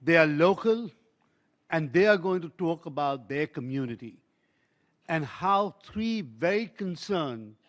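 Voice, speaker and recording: Effusive moderator, higher male voice. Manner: effusive, many fillers. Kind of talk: panel moderation. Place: conference hall.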